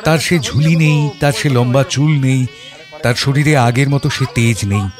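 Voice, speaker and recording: An actor playing a reflective father who narrates his memories in a measured, literary and expressive audio story style. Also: mid-range voice, close to an everyday voice. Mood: uneasy. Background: background music.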